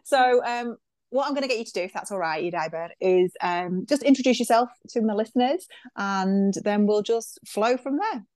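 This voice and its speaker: A woman's voice: fairly high pitch, moderate pace, darkish tone, no echo, clean studio sound. A woman hosting a podcast interview, chatty and enthusiastic, full of praise for her guest. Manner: gushing and enthusiastic, chatty with fillers. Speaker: podcast host. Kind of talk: casual interview chat.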